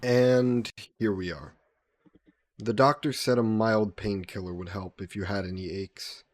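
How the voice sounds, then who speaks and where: A man playing a gentle, guilt-burdened vampire caretaker in an intimate audio roleplay, speaking politely and formally with some hesitation. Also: on the low side, measured pace, natural voice. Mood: attentive, caring. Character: gentle, guilt-burdened male vampire employer caring for a guest.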